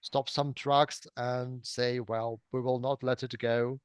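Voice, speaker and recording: Middle aged russian guy w accent chatting in an interview, smooth clear voice, dry sound, bit hesitant. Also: mid-range voice, flat delivery, slow pace, no room sound. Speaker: interview guest, simultaneous interpreter. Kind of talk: conversational interview answers about own career.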